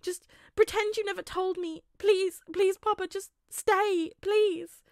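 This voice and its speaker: A woman's voice: very high-pitched, fairly even intonation, takes it slowly, dry recording, clean studio sound, voice pushed up, full character voice. A woman voicing a distraught teenage boy who begs and stammers, devoted to his father, in a story reading. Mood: desperate, pleading. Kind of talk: audiobook character acting.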